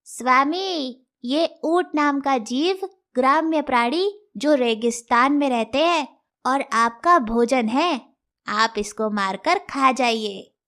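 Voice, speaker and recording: A woman's voice: high-pitched, takes it slowly, fairly bright tone, full character voice. A woman voicing a scheming, deferential animal servant in a cartoon fable, obsequious and persuasive.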